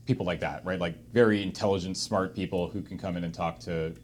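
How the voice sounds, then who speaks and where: A man's voice: low-pitched, steady tone, fast talker, slight background noise. A man chatting casually as he moderates a group discussion, hedging and correcting himself as he goes. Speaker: discussion moderator on a charity livestream.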